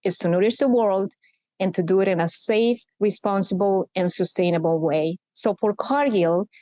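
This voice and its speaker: A woman from Colombia, in her forties, talks as a podcast guest. Her pitch sits in the middle for a woman. She explains things in an earnest, measured way. The recording is dry and close, with almost no room sound. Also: dark tone, dry recording. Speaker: guest, corporate sustainability executive. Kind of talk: podcast interview.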